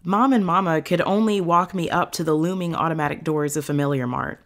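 A woman playing an earnest young witch who tells her story in a measured way, as in an audio drama. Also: low-pitched, a bit bright. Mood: hushed, reflective. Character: earnest young witch fondly recounting meeting her familiar. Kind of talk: audio fiction monologue, storytelling.

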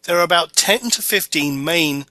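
A middle-aged Scottish man talks through a marketing course with a very bright, sharp-edged tone. His pitch sits a little high, and he sounds loose and salesy, full of "uh" and "you know". The recording is dry and close, with no room sound. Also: normal speed, no room sound. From Orkney Islands, Scotland. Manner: casual, filler-heavy sales pitch. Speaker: online course presenter. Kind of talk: narrated slideshow course video.

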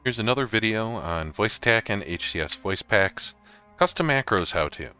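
A man with a low, forceful voice hosts an informal how-to for gamers. He talks through each step in a casual way, with a little music behind him. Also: lively intonation, moderate pace, clear, resonant tone. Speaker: host of a gaming tutorial series. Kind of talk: casual software tutorial narration over slides and screen capture.

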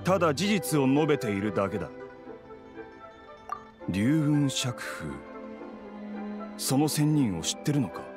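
A man's voice: medium pitch, big pitch swings, unhurried, pauses often. An actor playing an arrogant, condescending male official in a Japanese fantasy video game, haughty and blunt. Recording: clear music behind the voice.

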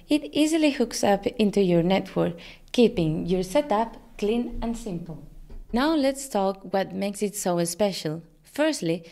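A woman's voice: medium pitch, a little mellow. A woman in her thirties presenting a scripted product tutorial in an upbeat, promotional way. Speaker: company presenter, digital marketing manager.

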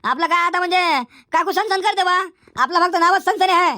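Squeaky, bright old village uncle. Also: male voice, fairly quick, no room sound, studio quality, full character voice. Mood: teasing, wry. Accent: Varhadi Marathi. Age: older. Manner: emphatic, repeats phrases. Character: feisty old village uncle, henpecked but bossy. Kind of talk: comedy cartoon dialogue.

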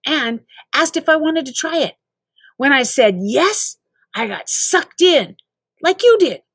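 A woman plays a cocky young boy from a storybook, mad about video games. The voice is high and breezy, full of enthusiasm and always persuading. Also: animated, takes it slowly, fairly bright tone, no echo, clean studio sound, heavily acted. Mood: excited.

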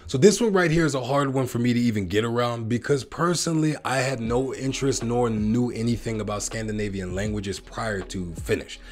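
A man's voice: medium pitch, brisk, hardly any pauses, soft background music. An American man in a casual vlog, rambling a bit and talking straight to his viewers, often calling them guys. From United States. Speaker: vlogger. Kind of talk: casual reaction vlog to camera.

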